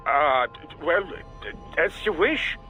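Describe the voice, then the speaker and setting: A man voicing a fussy, uneasy cyborg surgeon in a video game, speaking in a halting, formal, deferential way. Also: a little high, very animated, over music. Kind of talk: dramatic video game voice acting.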